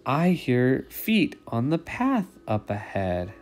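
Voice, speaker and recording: A storyteller putting on a slow, hulking storybook monster. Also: mid-range voice, big pitch swings, darkish tone, soft background music. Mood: wary. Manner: slow, drawn-out delivery. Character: hulking male Gruffalo monster, slow-witted, easily impressed.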